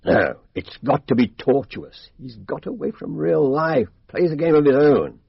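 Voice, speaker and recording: An actor playing a blunt, down-to-earth British police inspector in a radio mystery, speaking colloquially and with stubborn confidence. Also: male voice, mid-range voice, big pitch swings, unhurried, creaky, husky, no room sound, heavily acted. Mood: wryly baffled. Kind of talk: radio drama acting. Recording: dull, dry radio drama recording.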